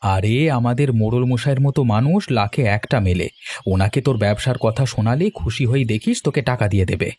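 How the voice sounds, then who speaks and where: A man's voice: mid-range voice, fast, a little mellow, natural voice. Man voicing a reassuring young village friend. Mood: encouraging. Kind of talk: cartoon voice acting.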